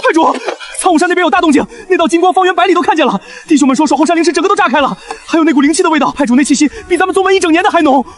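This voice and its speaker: An actor playing an excitable male underling who reports urgently to his master in a fantasy drama. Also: extremely high pitch, racing, few pauses. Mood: urgent awe. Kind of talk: acted dialogue in a fantasy audio drama.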